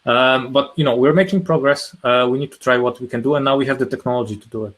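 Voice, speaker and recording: A man's voice: mid-range voice, fast. A man answering on a panel, thoughtful and hedging with frequent fillers. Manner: thoughtful, hedging with "you know".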